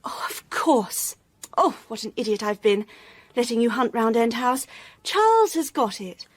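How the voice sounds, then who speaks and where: A young English society lady in a radio mystery. Her voice is fairly high, with a breezy, scatterbrained, chatty manner, recorded dry and close. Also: sing-song, a little slow, dry recording. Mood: chatty, bright. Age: young adult. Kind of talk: radio drama acting.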